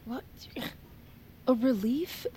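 A woman's voice: a little high, lively intonation, extremely slow, dark tone. Defiant, sarcastic young woman, noisy backdrop. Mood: bitter sarcasm. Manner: sarcastic, foul-mouthed, blunt. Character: defiant, suspicious young ex-soldier daughter. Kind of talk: naturalistic audio drama acting.

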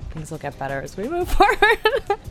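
A woman's voice: sits high, huge pitch swings, moderate pace, a bit bright. A nervous woman from the Midwest, a contestant, describing herself with a self-deprecating tone.

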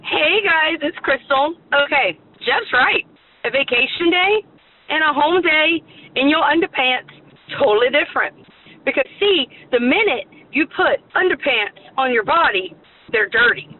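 A woman's voice: sits high, expressive, measured pace. A woman leaving an opinionated, casual voicemail, emphatic and playful. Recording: distant, tinny phone voicemail, faint noise.

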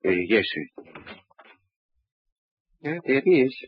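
An actor playing an earnest, deferential police subordinate in an old radio crime drama, stammering and rambling. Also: male voice, mid-range voice, very animated, slow pace, breathy edge, heavily acted. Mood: deferential, hesitant. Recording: muffled, band-limited old radio recording.

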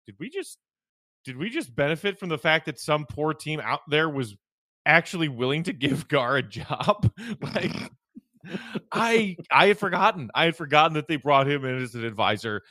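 A man with a bright, slightly high voice talks sports as a podcast co-host. He is informal and joking, chatting casually in a very dry recording. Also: lively intonation, moderate pace, dry recording.

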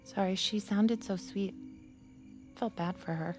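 An actress playing a friendly, obliging young babysitter in a naturalistic audio drama. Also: female voice, medium pitch, steady tone, measured pace, a little mellow, soft background music, natural voice. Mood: apologetic.

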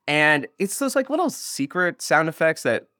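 A man's voice: on the high side, very animated, moderate pace, sharp tone, no echo, studio quality. A man being interviewed as an audio drama creator, chatting casually in a rambling, jokey way that often interrupts itself. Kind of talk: casual, animated interview chat.

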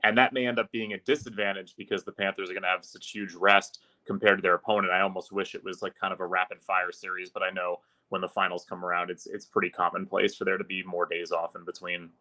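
A man's voice: low-pitched, level tone, quick pace, hardly any pauses, pushed and bright. A man who works as an arena announcer, chatting casually as a guest on a sports podcast. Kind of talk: casual sports interview chat.

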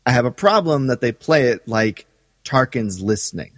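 A man co-hosting a podcast in casual discussion, halting as he goes and repeating words for emphasis. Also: mid-range voice, animated, no room sound, studio quality, in a large hall. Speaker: podcast co-host.

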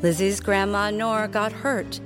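An actress playing a measured fairy-tale narrator, reading scripted narration in an audio drama. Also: female voice, medium pitch, steady tone, music in the background, natural voice.

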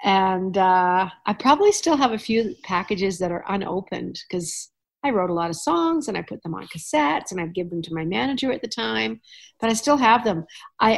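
A middle-aged woman from Canada hosting a relaxed podcast chat. She spins anecdotes with animated asides and easy laughter, at a medium pitch. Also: gravelly. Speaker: podcast host. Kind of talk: casual chat.

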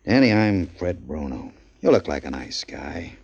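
A man's voice: a bass voice, sing-song, measured pace, darkish tone, husky, full character voice. An actor playing a nervous, shifty pool hall owner in his forties who stammers through anxious questions, in 1940s radio acting. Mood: wheedling. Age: 40s. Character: big fortyish pool hall owner, nervous, then menacing. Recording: dull lo-fi 1940s radio recording.

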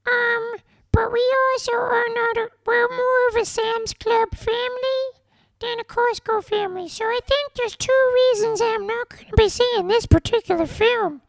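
An adult voicing a polite, earnest young boy on a comedy podcast, in a squeaky, childlike way. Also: steady tone, moderate pace, vocal fry.

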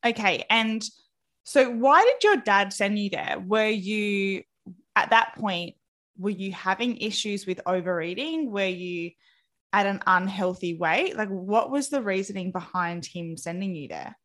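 A woman hosting an interview podcast, gently leading her guest with encouraging follow-up questions and a few ums. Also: medium pitch, expressive, a little slow. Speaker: podcast host.

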